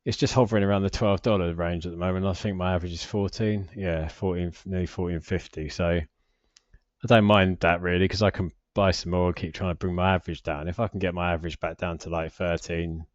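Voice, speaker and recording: A man's voice: low-pitched, fairly even intonation, fairly quick, dry recording, lo-fi sound. A British man in his forties giving a casual, unscripted finance vlog commentary in a hesitant, rambling, self-deprecating way. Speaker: personal finance vlogger.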